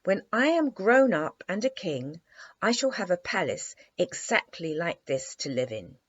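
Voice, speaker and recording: A woman's voice: fairly low pitch, sing-song, a little slow, breathy edge, pitched above the performer's own voice, full character voice. A woman voicing a proud, touchy little boy prince in a storybook, earnest and self-important. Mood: dignified. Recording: dry, slightly dull lo-fi recording.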